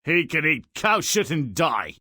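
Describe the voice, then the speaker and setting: An actor playing a greedy, callous peasant eldest brother in a fairy-tale video game. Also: male voice, on the high side, very animated, a little slow, sharp tone, no room sound, studio quality, exaggerated voice.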